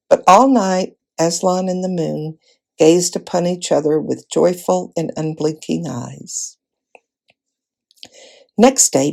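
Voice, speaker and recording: A woman reads a fantasy storybook aloud. Her voice sits low and relaxed, with a noticeable creaky edge. She delivers the narration expressively, as a volunteer reader would.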